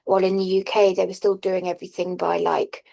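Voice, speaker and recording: A woman on a video call describing her screenplay research, hesitant and full of fillers, with a flat delivery. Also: fairly low pitch, a little fast, vocal fry. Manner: hesitant, many fillers, self-deprecating laughs. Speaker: workshop participant. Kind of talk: casual chat in an online workshop.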